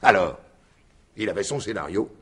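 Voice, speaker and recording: A man's voice: sits low, expressive, moderate pace, a bit bright, husky, full character voice. An actor playing a shrewd, insistent detective in a French radio mystery in 1950s style, explaining his theory with emphatic deliberation. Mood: confident, revealing.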